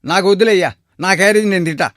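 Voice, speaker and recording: A man's voice: sits high, racing, dry recording, clean studio sound, close to an everyday voice. A man playing a deferential but plain-spoken shop servant in a cartoon moral story. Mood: protesting. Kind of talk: animated moral story dialogue.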